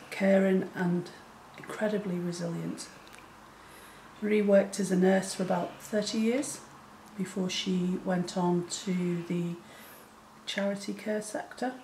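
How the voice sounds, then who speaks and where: A woman in her forties speaking earnestly to camera in a small room, slow and hesitant with many fillers. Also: medium pitch, dark tone. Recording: echoey room, faint noise.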